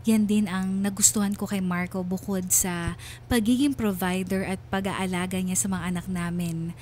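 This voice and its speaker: A woman's voice: medium pitch, level tone, moderate pace, a little mellow, slight background noise, lightly acted. An actress playing a wistful wife and mother, narrating her story in a reflective, sentimental radio drama style. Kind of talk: scripted first-person radio drama narration.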